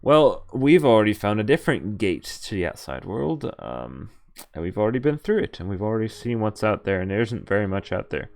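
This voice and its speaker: A man's voice: on the low side, very animated, a little fast, vocal fry, darkish tone. A man streaming a visual novel, reading its text aloud and adding casual, wry asides, often stumbling over names and correcting himself.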